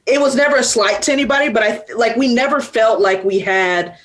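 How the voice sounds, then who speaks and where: A woman's voice: fairly high pitch, a little fast. Earnest young woman musician. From Atlanta, USA. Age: young adult. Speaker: band musician. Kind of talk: casual interview answers.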